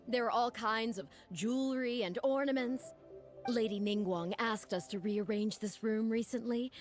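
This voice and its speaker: A helpful young game maid, courteous, expressive swooping delivery, eager to explain, background music. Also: female voice, a little high. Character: polite, helpful young female attendant to a noblewoman. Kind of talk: video game voice acting.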